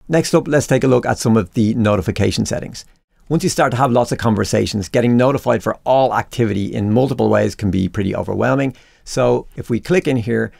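Male tutorial presenter, heavy vocal fry, casual explanatory screencast read, close and dry. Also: medium pitch, a little mellow, no echo. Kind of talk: instructional screencast narration, conversational.

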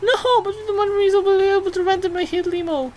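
A woman doing let's play commentary, excitable and sweary, repeating phrases rapidly as she reacts and reads game text. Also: very high voice. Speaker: video game streamer. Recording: game music behind the voice. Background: video game music.

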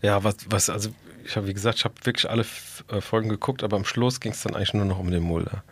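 A man's voice: on the low side, steady tone, fast talker, a bit bright, breathy edge. A man speaking casually as a podcast co-host, in a colloquial and blunt manner. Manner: colloquial and blunt, with mild swearing. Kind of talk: casual chat.

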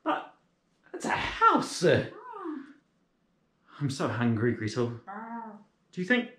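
A man's voice: medium pitch, wildly swooping pitch, takes it slowly, pushed and bright, heavily acted. A young man playing an eager, naive, easily spooked little boy in a children's fairy tale, with a theatrical, wide-eyed manner. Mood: alarmed, amazed. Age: young adult. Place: living room. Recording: echoey indoor room.

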